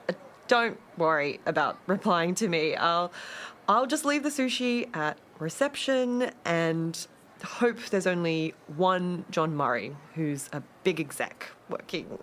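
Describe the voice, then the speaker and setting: A woman's voice: lowish voice, animated, takes it slowly, a bit bright, a little hiss or hum. An actress playing an awkward, jilted woman in her late thirties, leaving hesitant, rambling, emotionally exposed voicemails in a radio drama. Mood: awkward, hopeful.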